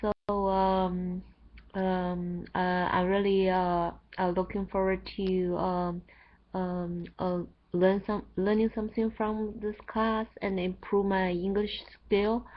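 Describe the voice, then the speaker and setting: A woman's voice: fairly low pitch, highs cut off. A woman with a Chinese accent, introducing herself as a student with many hesitant fillers. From China. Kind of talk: hesitant classroom English.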